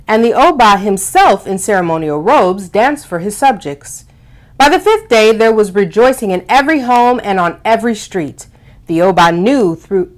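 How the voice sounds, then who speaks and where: A woman with a very lively, swooping pitch hosts storytime for young children. She explains and reads aloud expressively, leaning on key words and drawing them out. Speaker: host of a children's storytime show.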